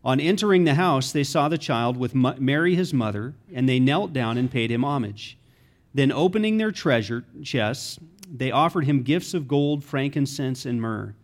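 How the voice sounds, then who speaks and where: A man's voice: medium pitch, expressive, normal speed. A man reading scripture aloud in a plain voice, with a small slip now and then. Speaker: scripture reader.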